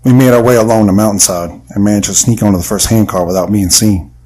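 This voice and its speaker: A man's voice: lowish voice, steady tone, quick pace, a little mellow, a little hiss or hum, natural voice. A man playing an earnest, folksy adventurer who tells his tale in an audio drama. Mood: narrating. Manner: earnest storytelling. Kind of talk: audio drama narration and acting.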